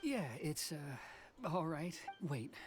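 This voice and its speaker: A man's voice: on the high side, very animated, slow, noisy background. A man playing a cautious, hesitant boat builder in a video game.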